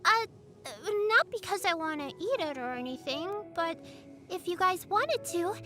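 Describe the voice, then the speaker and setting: Tiny sweet girl for an anime game, super high bright voice, innocent and chatty, music behind. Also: expressive, measured pace, a little hiss or hum, full character voice. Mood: flustered, eager. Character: sweet earnest little girl, caring and dutiful. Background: background music.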